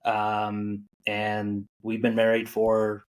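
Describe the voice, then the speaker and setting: A man's voice: fairly low pitch, monotone, drawn-out words. A man from Ireland, raised in England and the United States, joking easily as a podcast guest. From born in Ireland, raised in England and the United States. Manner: joking, laid-back, many fillers. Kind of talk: casual podcast chat.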